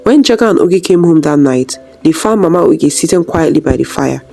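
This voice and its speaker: A woman's voice: low voice, expressive, a little fast, creaky, warm tone, soft background music. A woman narrating a scripted folktale as a storyteller.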